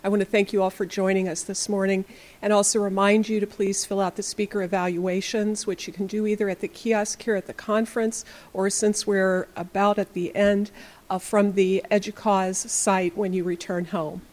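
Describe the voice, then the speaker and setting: A woman's voice: mid-range voice, level tone, normal speed, darkish tone. Woman formally introducing speakers. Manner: formal, reading prepared bios. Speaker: session introducer. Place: conference session room.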